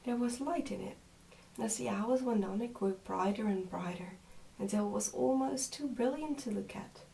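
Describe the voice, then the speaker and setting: A woman reading a storybook as soft-spoken, measured ASMR narration. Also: a little high, gravelly, roomy sound, distant mic, slight background noise, low-quality recording. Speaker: storybook reader.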